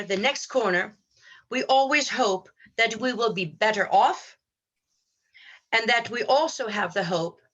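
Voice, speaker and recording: A woman co-hosting a podcast, giving a reflective spiritual talk in an earnest, measured, philosophizing manner. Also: fairly high pitch, bright, forceful tone, rough recording. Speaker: podcast co-host.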